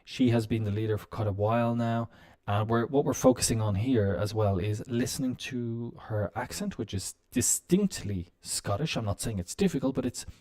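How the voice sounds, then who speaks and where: A man teaching business English on a live stream, explaining slowly with many "um"s and "uh"s. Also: on the low side, expressive, a little mellow. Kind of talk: live-stream language lesson.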